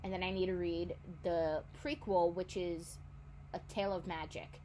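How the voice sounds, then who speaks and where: A woman's voice: fairly low pitch, fairly even intonation, normal speed, dark tone, a little hiss or hum. Rambling young woman chatting about books. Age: 20s. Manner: rambling, self-correcting. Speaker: book vlogger. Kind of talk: casual chat.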